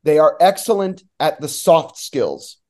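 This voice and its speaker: A man's voice: on the high side, very animated, unhurried, mellow. An American man from New Jersey giving casual sports analysis as a guest, often restarting words as he thinks aloud. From New Jersey, United States. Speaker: radio play-by-play announcer, guest.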